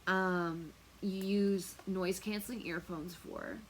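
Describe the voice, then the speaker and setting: Low-voiced lady vlogging, loud projecting voice, rambles with lots of ums, slow. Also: fairly even intonation, slight background noise, poor audio. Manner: chatty, rambling, many fillers. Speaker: tarot reader and remote viewer. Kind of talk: casual talk to camera. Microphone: noise-canceling earphones on.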